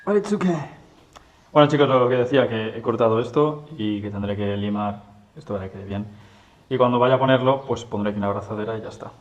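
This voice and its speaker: A man from Spain talking casually while he works on a motorcycle, halting and searching for English words with many fillers. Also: mid-range voice, muffled recording. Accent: Spanish. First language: Spanish. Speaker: motorcycle garage vlogger. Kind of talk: casual workshop talk, switching between English and Spanish. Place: home garage workshop.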